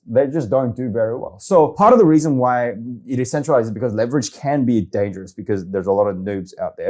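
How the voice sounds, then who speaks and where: A man from Korea, hosting a casual, excitable screen-share walkthrough of a website and saying "okay" a lot. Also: mid-range voice. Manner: excitable, chatty, says okay often. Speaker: crypto video host.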